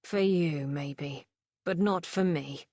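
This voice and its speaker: A woman voicing a sardonic, jaded gentleman rakehell who talks in a slow, cutting, sarcastic drawl. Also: deep voice, no room sound. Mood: weary.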